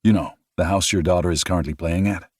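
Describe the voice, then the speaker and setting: A man voicing a teasing, protective adult man in a close family, in a raised, louder voice than his narration. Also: deep voice, fairly even intonation, fast talker, no echo. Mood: friendly.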